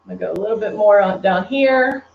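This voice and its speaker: A woman's voice: medium pitch, fast talker, creaky. Chatty Texan woman, echoey room. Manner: chatty, answers viewers' comments as she works. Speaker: beauty brand presenter. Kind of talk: live makeup tutorial. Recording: echoey room, dull lo-fi stream audio.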